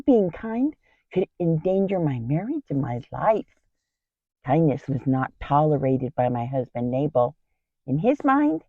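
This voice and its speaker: A woman's voice: lowish voice, dry recording, narrow, tinny audio. A woman playing a kind, brave biblical wife in an earnest, theatrical dramatic monologue. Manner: theatrical, earnest, emphatic storytelling. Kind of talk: dramatic first-person Bible monologue.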